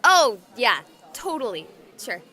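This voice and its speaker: A woman playing a goofy, excitable young livestreamer in a visual novel, chatting playfully with exaggerated enthusiasm. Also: on the high side, takes it slowly, full character voice. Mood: friendly, evasive. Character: excitable, goofy young female livestreamer exploring haunted places.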